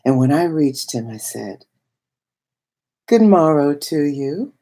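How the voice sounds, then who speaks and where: A woman voicing a wistful, awestruck woman of biblical times in a dramatic literary monologue, with hushed, reverent phrasing and a breathy, husky voice that often drops toward a whisper. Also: low-pitched, expressive, slow pace, exaggerated voice. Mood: hushed longing. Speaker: reader.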